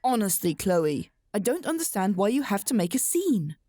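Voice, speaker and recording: A woman's voice: mid-range voice, big pitch swings, normal speed, very noisy, lightly acted. A woman playing a weary, exasperated but patient mother in a radio drama, chiding as she speaks.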